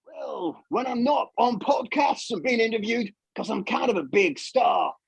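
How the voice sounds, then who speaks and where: A man's voice: lively intonation, measured pace, dry recording, exaggerated voice. A man voicing a brash, boastful puppet who plays the ladies' man, with a pushy, emphatic way of talking in a comic interview. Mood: cocky, flirty. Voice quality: high, creaky, raspy. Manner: cocky, pushy, emphatic, repeats himself. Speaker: puppet podcast guest.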